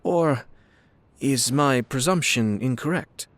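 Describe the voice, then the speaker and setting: A man's voice: medium pitch, no echo, lightly acted. Man as a refined, composed young lord. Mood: composed, formal. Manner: formal, refined, measured. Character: young noble clan lord, courteous, composed, subtly playful. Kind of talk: video game character acting.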